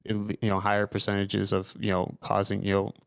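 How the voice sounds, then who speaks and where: A man's voice: on the low side, monotone, a little fast, creaky, clear, resonant tone, no room sound, clean studio sound. A young adult man hosting a podcast interview, talking casually and rambling with lots of fillers and restarts. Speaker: podcast host.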